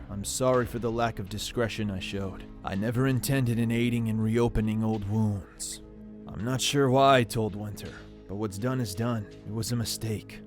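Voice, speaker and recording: An actor playing an earnest, apologetic military general with formal wording, in a dramatic comic dub. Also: male voice, fairly low pitch, natural voice.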